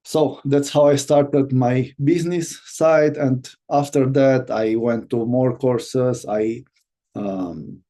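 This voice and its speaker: A man from Romania with a Romanian accent, teaching an online course as a business coach and speaking in a halting way with many fillers and restarts. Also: medium pitch, creaky, mellow.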